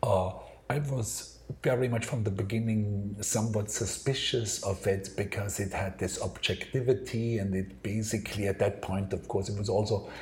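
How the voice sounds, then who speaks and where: Thoughtful man with an Austrian accent, lowish voice. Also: a little mellow, slight background noise. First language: German. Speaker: interviewed designer and design teacher. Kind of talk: reflective interview answers.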